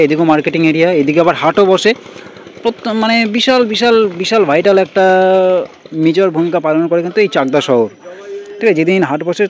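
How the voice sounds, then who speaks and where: A man's voice: fairly high pitch, a little hiss or hum. A man with a West Bengal accent giving casual, informal explanatory commentary as a train vlogger, repeating words for emphasis. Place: outdoors at a railway station.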